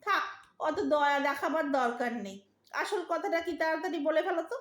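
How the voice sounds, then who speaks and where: A woman's voice: high voice, fairly quick, fairly bright tone, reverberant. A woman playing an impatient but loving young married Bengali daughter in an audio drama, pleading and emotional, with a Bengali accent. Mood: impatient, teasing.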